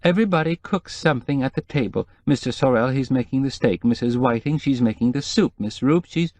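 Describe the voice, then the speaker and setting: Man doing a timid housekeeper woman, nervous, whimpering, high-pitched, dry close mic. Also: a little fast, a little mellow, dry recording, natural voice. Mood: anxious. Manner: whimpering, hesitant, anxious. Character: nervous timid middle-aged female housekeeper.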